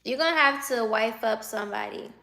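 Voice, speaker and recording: A woman's voice: a little high, fairly quick, bright, forceful tone. A woman in her twenties chatting on a livestream, teasing and laughing as she answers viewer comments aloud. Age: 20s. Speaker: livestreamer reading chat. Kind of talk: casual live chat.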